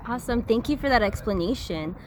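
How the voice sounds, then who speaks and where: Higher-voiced woman hosting a podcast. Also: lively intonation, brisk, noisy background. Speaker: podcast host, interviewer. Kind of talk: scripted-sounding friendly interview questions.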